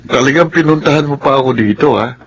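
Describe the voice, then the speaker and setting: A man's voice: mid-range voice, quick pace, natural voice. A man playing a treacherous young son, smug and conspiratorial, in a Filipino radio drama. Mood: smug, scheming.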